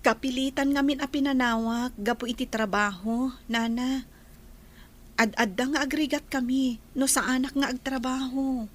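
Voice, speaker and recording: A woman's voice: high voice, steady tone, normal speed. A woman playing a dutiful young working woman who supports her family, in a dramatised story. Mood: earnest.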